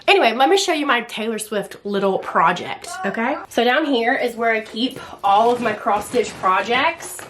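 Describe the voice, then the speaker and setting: A woman's voice: fairly high pitch, expressive, normal speed, few pauses, noisy background. A young woman with a Southern American accent, chatting casually and ramblingly in slangy language for a vlog at home. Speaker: book and craft vlogger.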